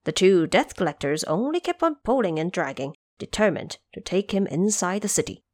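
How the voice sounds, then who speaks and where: A woman narrating a scripted audio drama tale, pausing for explanatory asides. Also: lowish voice, big pitch swings, no echo.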